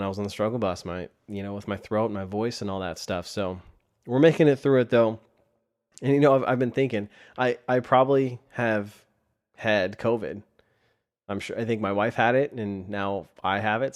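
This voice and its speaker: A man hosting a solo podcast in a casual, rambling way, his voice tired from a sore throat. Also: fairly low pitch. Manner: rambling with frequent restarts. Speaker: podcast host. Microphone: desk microphone.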